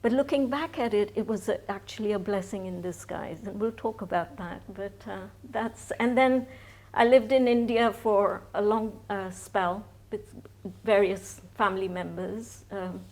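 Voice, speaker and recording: A woman's voice: medium pitch, measured pace, darkish tone. A woman in her sixties, a guest in a live stage interview, telling her life story in a chatty way with frequent restarts and laughter. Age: 60s.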